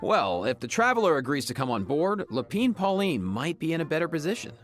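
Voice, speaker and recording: A man co-presenting a game preview program, mixing lively scripted explanation with friendly banter. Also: fairly high pitch, brisk.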